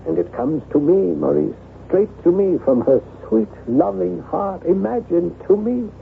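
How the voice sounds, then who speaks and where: An actor playing a besotted, guilt-haunted lord of a chateau in an effusive, theatrical radio drama style. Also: male voice, sits high, a little slow, gravelly, exaggerated voice. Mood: rapturous. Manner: theatrical, effusive, gushing over his bride. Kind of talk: 1970s radio drama acting. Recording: tinny, band-limited old radio recording with hiss.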